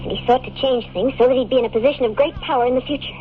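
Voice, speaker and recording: A woman's voice: high voice, fairly even intonation, racing, close to an everyday voice. An actress playing an earnest young woman in a 1950s radio drama, with an American accent and an intense, pleading delivery. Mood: confessing. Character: earnest, urgent young woman, secret time traveler in love. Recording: tinny, hissy old radio broadcast recording.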